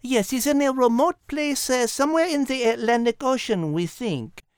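A chirpy, teasing lady dog, voiced by a woman co-hosts in comic banter. Her voice is very high and sounds dry and close. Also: animated, moderate pace, a little mellow, smooth, no room sound, lightly acted. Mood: chirpy, puzzled. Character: chirpy, teasing female French dog co-host. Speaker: host. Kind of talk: scripted comic character banter.

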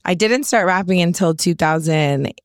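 A young woman with a Canadian accent and a raspy voice, chatting casually as an interview guest in a studio. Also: fairly low pitch, normal speed, dry recording. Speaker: interview guest, rapper and songwriter. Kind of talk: casual chat.

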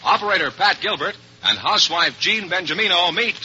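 Very bright, punchy male radio announcer. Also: sits high, animated, a little fast, husky. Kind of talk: polished 1940s radio announcing. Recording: muffled, faintly noisy old radio broadcast.

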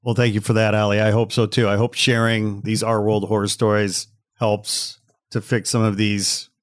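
Low-voiced man hosting a casual podcast. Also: no echo, studio quality. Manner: wry, conversational, direct address to listeners. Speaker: podcast host.

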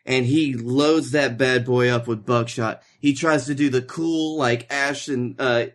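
A man co-hosting a podcast in casual chat, rambling through anecdotes in a loose, halting way with joking asides. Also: medium pitch, fairly even intonation. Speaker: podcast co-host.

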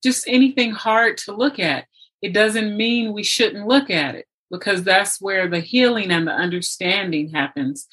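A woman's voice: lowish voice, fairly bright tone. A woman hosting a conversational interview, speaking in an earnest, encouraging way. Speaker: interview host.

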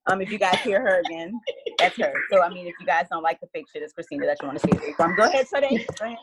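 A woman in her thirties, a podcast co-host, animated and teasing as she talks. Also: fairly low pitch, racing, heavy background noise. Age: 30s.

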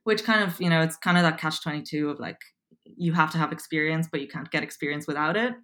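A woman's voice: low-pitched, fast talker, a bit bright. A woman in her twenties with an Irish accent, chatting casually as an interview guest and filling her talk with likes and ums. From Poland. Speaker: guest, copywriter.

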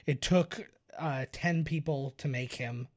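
A man's voice: a little high, slow, a little mellow. A man co-hosting a podcast, explaining film trivia in a casual, informative way. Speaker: podcast co-host.

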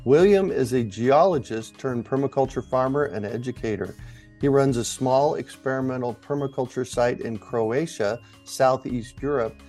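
A man's voice: medium pitch, normal speed, dark tone, faint music behind. A man hosting an interview podcast, presenting scripted introductions and questions. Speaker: podcast host.

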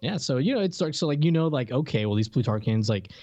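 A man's voice: medium pitch, animated, fast, dark tone, no room sound, highs cut off. A man co-hosting a podcast, chatting informally in loose, slangy language. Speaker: podcast co-host. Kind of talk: casual, informal chat.

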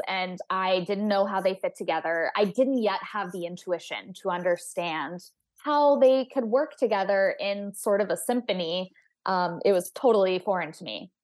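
A young woman from Texas talks openly as a podcast guest, outgoing and eager to chat. She is reflective and candid, and her voice sits at an ordinary middle pitch for a woman. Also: a little mellow. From United States (Texas). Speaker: guest astrophysicist. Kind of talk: podcast interview conversation.

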